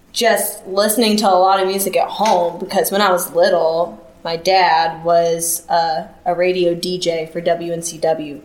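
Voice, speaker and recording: A young adult woman, a band vocalist, chatting casually as a guest. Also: on the low side. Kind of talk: casual chat. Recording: roomy, echoey sound.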